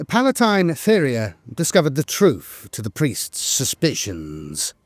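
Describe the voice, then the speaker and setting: A man reading dramatic scripted war lore in a solemn, measured manner, as a channel narrator close to the microphone. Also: a little high, darkish tone, dry recording.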